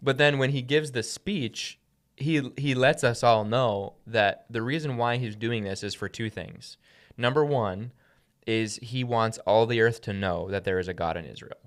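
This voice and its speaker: A man's voice: mid-range voice, a little slow. A man speaking as a podcast host, teaching the Bible in a conversational way and often checking in with "right?".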